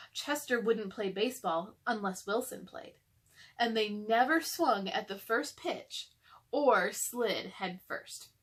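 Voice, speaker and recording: A woman's voice: fairly high pitch, a bit bright. A woman reading a children's story aloud, narrating and speaking directly to young listeners. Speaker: storybook reader.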